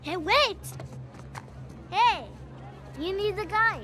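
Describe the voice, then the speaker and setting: A woman's voice: extremely high pitch, expressive, takes it slowly, a crowd in the background, full character voice. An actress playing a bold young girl street vendor in a video game, bargaining in a childlike way. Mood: insistent, cheeky. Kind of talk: video game cinematic acting.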